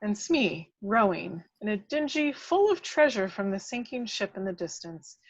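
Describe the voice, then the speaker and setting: A woman's voice: mid-range voice, very animated, moderate pace, dry recording. A woman reading out the action of a screenplay as a dramatic narrator. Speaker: script narrator. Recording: dull lo-fi, dry microphone.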